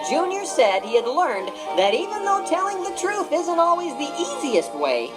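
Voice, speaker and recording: A woman narrating a read-along storybook in an expressive, dramatic way. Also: very high voice, moderate pace, rough recording. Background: background music.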